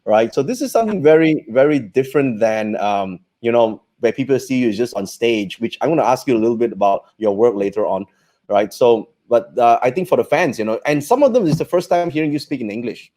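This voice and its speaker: A man's voice: mid-range voice, expressive, fairly quick, dark tone. A man hosting a livestream interview, encouraging his guest and leaning on fillers like "you know" and "right". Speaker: interviewer host.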